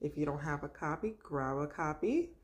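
A woman, around her thirties, speaking as the host of a children's story time, in an expressive storytelling manner. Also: deep voice, moderate pace, husky. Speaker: host of a children's read-aloud show. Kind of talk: children's story time, picture book read aloud.

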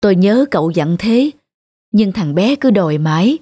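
An actress playing a meek, apologetic older housekeeper in a cartoon, deferential and hesitant. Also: female voice, medium pitch, lively intonation, normal speed, dark tone, breathy edge, no room sound, clean studio sound.

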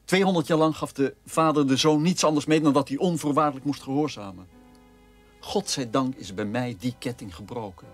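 A man's voice: mid-range voice, a little fast, close to an everyday voice. A bitter left-wing son in a radio play, acted by a man, with music under his voice. He sounds earnest and accusatory, declaiming against his family's past in a mid-range male voice. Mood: proud, defiant.

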